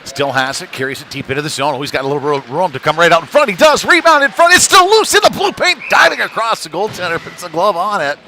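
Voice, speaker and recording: A man's voice: high-pitched, big pitch swings, quick pace, hardly any pauses, sharp tone. A man doing live ice hockey play-by-play commentary in a conversational, informal manner. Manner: conversational, informal, tag questions. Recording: clearly noisy venue ambience.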